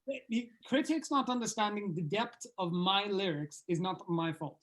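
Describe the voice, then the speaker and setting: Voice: mid-range voice, moderate pace, a bit bright, natural voice. A performer playing a foul-mouthed, jaded male rock band member at an informal script table read, blunt and sardonic. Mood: dry, sardonic. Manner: blunt, sweary. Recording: clear background noise.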